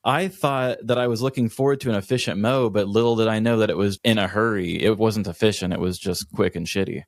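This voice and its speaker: A man's voice: on the low side, fairly even intonation, fairly quick, vocal fry, no echo. A man co-hosting a podcast with casual, comedic banter. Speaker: podcast co-host.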